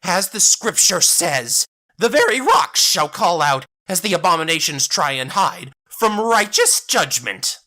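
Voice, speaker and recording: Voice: medium pitch, sing-song, unhurried, pushed and bright, pitched above the performer's own voice. A performer voicing a haughty, self-righteous male zealot in a grandiose, preaching manner for an audio drama. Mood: righteous, menacing.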